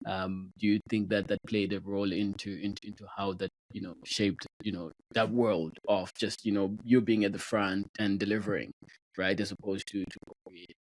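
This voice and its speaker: A man from Zimbabwe hosts a podcast conversation in a low, steady voice. He talks with many fillers and affirming murmurs. The sound is close and dry, with little room. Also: moderate pace, dry recording, poor audio. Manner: warm, frequent affirming backchannels and filler words. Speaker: podcast host. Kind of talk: conversational interview.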